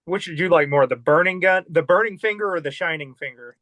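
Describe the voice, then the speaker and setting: A man hosting an interview channel, asking questions as an eager, excited fan over a video call. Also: on the high side, brisk, dry recording. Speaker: channel host.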